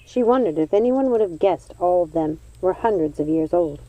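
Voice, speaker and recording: A woman reading a storybook aloud as an expressive audiobook narrator. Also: on the low side, moderate pace, no room sound, rough recording.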